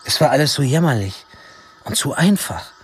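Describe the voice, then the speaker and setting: A man's voice: mid-range voice, very animated, normal speed, close to an everyday voice. An actor playing a young man candidly narrating his own story in a German radio drama. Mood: reflective. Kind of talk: scripted first-person radio drama narration. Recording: clear music under narration.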